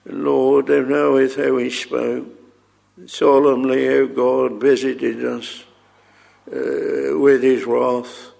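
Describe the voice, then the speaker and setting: A man leading an extempore public prayer, solemn and halting, in archaic thee-and-thou language. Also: mid-range voice, level tone, creaky, a little mellow.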